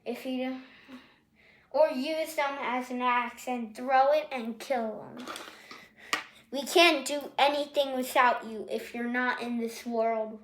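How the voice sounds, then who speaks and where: A scarred trainer for a dinosaur capture unit, played by a woman, briefs a new hire in amateur roleplay. The voice is high and slow, with halting improvised instructions in an echoey room. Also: fairly even intonation, a little hiss or hum. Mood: instructive. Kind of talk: amateur in-character roleplay orientation.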